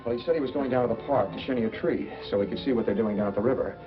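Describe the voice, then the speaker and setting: An actor playing a smooth, calculating fugitive who feigns innocence, in 1940s film noir style. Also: male voice, mid-range voice, very fast, close to an everyday voice. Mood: feigned concern. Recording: dull old film soundtrack with music.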